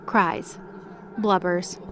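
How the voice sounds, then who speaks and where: A woman's voice: fairly low pitch, fairly even intonation, drawn-out words, heavy background noise. A woman reading scripted narration for a dramatic mythological story.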